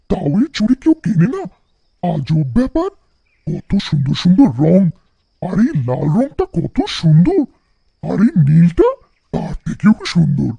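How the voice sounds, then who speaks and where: A man voicing a kindly witch who muses softly to herself in a cartoon folktale. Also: on the high side, creaky, slightly rough, no echo, lo-fi sound, exaggerated voice. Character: pitying witch living in a tree, delighted by pretty bangles. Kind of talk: animated folktale voice acting.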